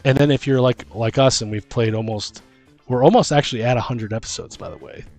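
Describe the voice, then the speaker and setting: A man's voice: mid-range voice, a little fast, darkish tone. A man hosting a casual chat about tabletop games as game master, talking in a rambling, slangy, informal way. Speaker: podcast host and game master. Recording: music behind the voice. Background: music.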